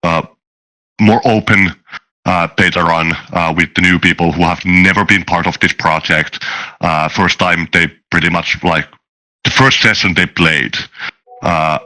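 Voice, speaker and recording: A man speaking hesitantly in an interview as a game master and designer, with searching pauses. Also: deep voice, steady tone, pushed and bright, low-quality recording.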